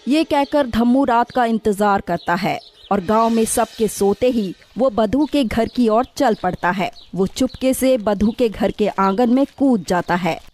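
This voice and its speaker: High-pitched woman narrating a children's story. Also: unhurried, hardly any pauses, a little mellow. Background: background music.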